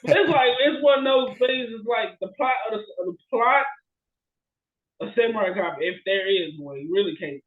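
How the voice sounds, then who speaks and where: A man co-hosting a podcast in casual chat, rambling in an animated way and repeating his phrases. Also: high voice, normal speed, narrow, tinny audio. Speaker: podcast co-host. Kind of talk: casual chat about bad movies.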